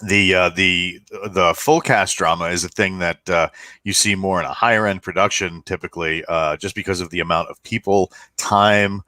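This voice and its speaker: An American man co-hosting a podcast, explaining things casually in a halting way. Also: low voice, fairly even intonation, pushed and bright, no room sound, studio quality. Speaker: podcast co-host.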